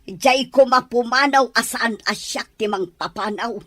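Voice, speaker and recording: A woman's voice: a little high, unhurried, fairly bright tone, no echo. A woman acting as a cold, calculating older mother-in-law in an Ilocano radio-style drama, speaking with stern control. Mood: scheming. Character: scheming older mother-in-law plotting against daughter-in-law.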